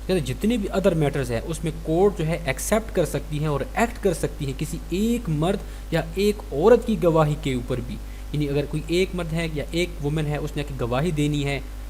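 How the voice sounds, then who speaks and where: A Pakistani man with a slightly high voice gives an instructional lecture. He reads formal passages deliberately, then explains them step by step, switching between two languages. A faint hum sits behind his voice. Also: lively intonation, a little mellow. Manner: code-switches between Urdu and English legal terms. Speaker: law lecturer. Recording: noisy recording with low hum.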